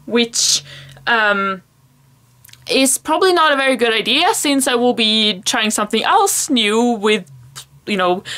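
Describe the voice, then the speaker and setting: A woman's voice: a little high, a bit bright. A young woman whose first language is Swedish, chatting to camera in a rambling, self-conscious way with frequent small laughs. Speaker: planner vlogger. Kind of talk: casual talk to camera.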